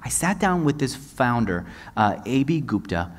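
Animated man moderating a stage panel. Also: medium pitch, normal speed. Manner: expressive, gestures broadly, quotes others in dialogue. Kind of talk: animated onstage storytelling. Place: conference stage before a large audience. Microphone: headset mic.

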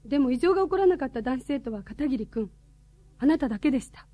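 Radio drama role: formal, polite, earnest woman, high register, soft dark tone, very dry sound. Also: lively intonation, fast talker, no echo. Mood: serious inquiry. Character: serious, courteous woman questioning a schoolboy.

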